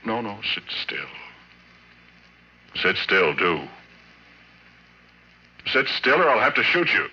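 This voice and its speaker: Haunted, very deep-voiced oilfield man. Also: big pitch swings, sharp tone, husky, heavily acted. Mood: quietly menacing. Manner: halting, intimate, brooding delivery. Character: haunted middle-aged oilfield worker confessing a horror, obsessed. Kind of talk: 1940s radio drama monologue. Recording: muffled, noisy old radio recording.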